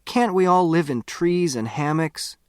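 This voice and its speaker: An excitable teenage boy, acted out by a man reading a novel, talks in a raised, urgent voice. He is earnest and quick to protest, and he is recorded dry and close. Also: fairly high pitch, clear, resonant tone, no echo, pitched above the performer's own voice. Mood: dismayed.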